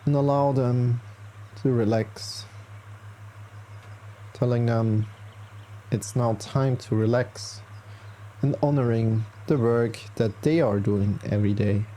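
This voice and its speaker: A man leading a guided relaxation as a meditation teacher, speaking soothingly and reassuringly, with long unhurried pauses. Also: medium pitch, warm tone, slight background noise. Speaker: yoga nidra meditation guide.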